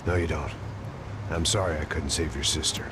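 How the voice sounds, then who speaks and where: An actor with an American accent playing a weary, sardonic middle-aged antihero in a video game, in a dry gravelly voice. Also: male voice, very deep voice, fairly even intonation, normal speed, noisy background. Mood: somber. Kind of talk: video game cutscene acting. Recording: loud music behind the voice.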